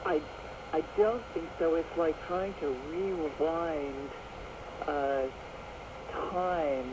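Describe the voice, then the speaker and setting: A man on a radio talk show, thoughtful and pausing to find his words. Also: a little high, steady tone. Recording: shortwave radio broadcast with heavy static.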